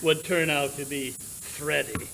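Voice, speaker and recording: A man's voice: a little high, flat delivery, slow pace, vocal fry, bright, forceful tone, slight background noise, full character voice, poor audio. A man playing a shrewd, self-assured, commanding detective in a theatrical 1940s radio drama style. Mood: smug, triumphant.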